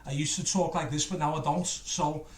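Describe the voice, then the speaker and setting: A man from England with an Australian accent, talking to his viewers in a chatty reaction video. His voice sits fairly high for a man, and he rambles and jokes as he goes. The room around him rings with a lot of echo. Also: steady tone, moderate pace. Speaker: music reactor. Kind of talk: casual reaction video chat.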